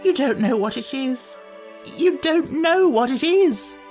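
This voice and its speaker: A man voicing a cranky, put-upon little furry wish-granting creature from a storybook, with a shrill voice that cracks when worked up. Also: expressive, slow pace, a little mellow, faint music behind, heavily acted. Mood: tearful. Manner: indignant, complaining.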